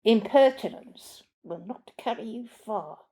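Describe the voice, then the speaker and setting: Woman voicing a pompous butler, unhurried and mellow. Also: a little high, expressive. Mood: haughty, threatening. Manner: pompous, clipped, lecturing. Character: pompous, snappish English male butler, florid and condescending.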